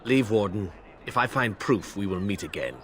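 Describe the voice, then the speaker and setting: An actor playing a stern, honorable duelist in a video game, speaking in a grave, formal, clipped way. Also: male voice, fairly low pitch, lively intonation, normal speed, a bit bright. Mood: stern, reluctant. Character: stern honorable man, duelist who challenges a Warden.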